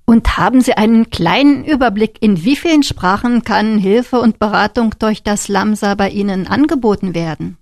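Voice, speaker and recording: A woman's voice: medium pitch, normal speed, few pauses, a little mellow, dry recording, studio quality. A woman working as a radio interviewer, putting prepared questions to a guest.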